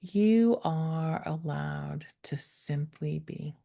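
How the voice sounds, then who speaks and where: A woman guides a meditation in a low, mellow voice with a noticeable creak. She is gentle and unhurried, leaving space between phrases. Her phrase endings soften into breath, close to a whisper. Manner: gentle, unhurried, with long pauses. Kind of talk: guided meditation, slow soothing instruction.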